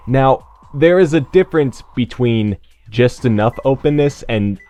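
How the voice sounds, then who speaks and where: A man giving casual video game commentary, explaining things in a hesitant, measured way. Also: mid-range voice, lively intonation, mellow. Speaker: host of a group video game playthrough. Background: video game music.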